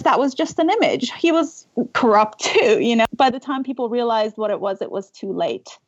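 A woman's voice: fairly high pitch, slightly rough, no room sound, highs cut off. A woman from Iran speaking as an interview guest, earnest and reflective, often breaking off and restarting her thoughts. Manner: earnest, reflective, self-interrupting with repeated "you know". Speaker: podcast guest, author. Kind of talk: conversational interview answers.

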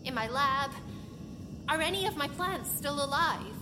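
High-pitched, distressed woman scientist. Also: expressive, a little slow, big-room sound, background noise. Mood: shaken, pained. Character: distressed, determined woman scientist alone on a derelict spaceship. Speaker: voice actor in lead role. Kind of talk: audio drama acting.

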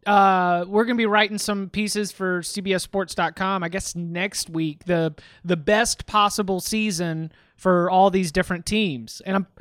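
A man's voice: high-pitched, steady tone, measured pace, few pauses, fairly bright tone, clear, resonant tone, no echo, clean studio sound. A man hosting a casual sports talk podcast, thinking aloud with lots of restarts. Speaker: podcast host.

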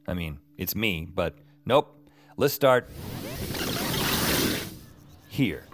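An actor playing a wry, rattled young man who narrates his own strange story in a colloquial, self-deprecating way. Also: sits low. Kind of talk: first-person audio drama narration.

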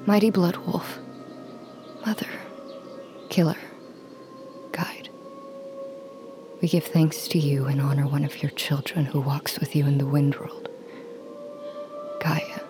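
An actress playing a solemn huntress of a wolf pack in a fantasy audio drama, speaking with grave, commanding reverence. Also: female voice, deep voice, steady tone, slow pace, long pauses. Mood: hushed, reverent. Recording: dramatic music bed behind the voice.

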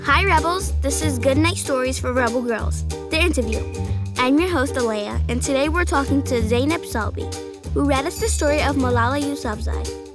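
A woman's voice: high-pitched, normal speed, music in the background. A woman hosting a scripted interview show made for children. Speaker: podcast host.

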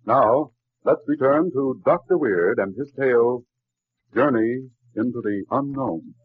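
A man's voice: medium pitch, a little slow, no echo. A man delivering a scripted 1940s radio advertisement as a polished, emphatic announcer. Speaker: commercial announcer. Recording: muffled old radio broadcast.

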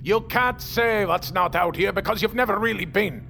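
An actor playing a grizzled older seafarer, brooding and theatrical, who warns fervently of monsters in an audio drama. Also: male voice, sits high, vocal fry, pushed and bright, full character voice. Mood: fervent, scolding. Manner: slow, brooding, theatrical storytelling that swells to intense warnings. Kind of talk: audio fiction drama acting. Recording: dramatic music behind the voice.

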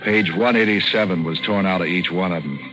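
A man playing a wry, deadpan, wisecracking hard-boiled detective in a 1940s radio noir drama. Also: deep voice, a little fast, close to an everyday voice, poor audio. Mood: dry, probing. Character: hard-boiled, wry male detective narrating his case. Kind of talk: 1940s radio noir acting and first-person narration. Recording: old 1940s radio recording, music behind.

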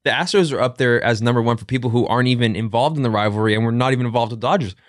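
Fast-talking ranting young man. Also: medium pitch, no room sound, studio quality. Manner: quick, ranting, laughs along. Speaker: podcast co-host. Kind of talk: casual sports chat.

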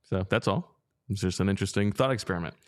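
A man with a fairly low voice talks sports in a relaxed, conversational way. He fills his sentences with fillers and pushes back quickly when he disagrees. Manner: conversational, lots of fillers, sharp pushback. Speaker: podcast co-host. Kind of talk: casual sports chat.

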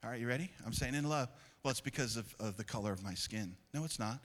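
A man in his forties preaching a sermon as pastor, with call-and-response asides, swinging from loud urging to soft speech. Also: medium pitch, fast talker. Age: 40s.

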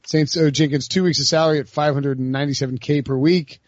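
A man's voice: fairly high pitch, fairly even intonation, fast talker, no echo, dull audio. A man hosting a casual sports talk podcast, loose and chatty, with frequent fillers and laughter. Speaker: podcast host.

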